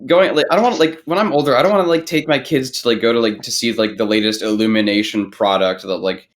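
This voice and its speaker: A young man in a casual film discussion, talking haltingly and repeating himself, with "like" in nearly every phrase. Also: medium pitch, a little hiss or hum. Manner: halting, repetitive, heavy use of "like". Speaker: podcast panelist.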